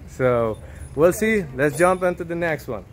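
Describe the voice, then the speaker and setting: A man's voice: a little high, animated, a little slow. A man, chatting casually as he hosts a car vlog. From Aruba. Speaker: car vlogger, host. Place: outdoors at open garage and yard. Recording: outdoor ambience, light background noise.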